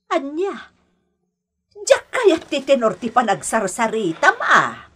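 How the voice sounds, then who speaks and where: A quarrelsome, domineering Ilocano mother-in-law for radio drama. Her voice is high and piercing, with dramatic swoops as she scolds and bosses in an exaggerated way. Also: wildly swooping pitch, slow, a bit bright, husky, full character voice. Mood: indignant. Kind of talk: Ilocano comic-melodrama radio acting.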